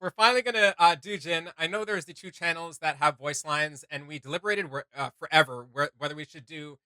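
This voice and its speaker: Bright, highish-voiced man reacting casually. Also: brisk, clear, resonant tone, no room sound. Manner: enthusiastic, informal. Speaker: reaction video host.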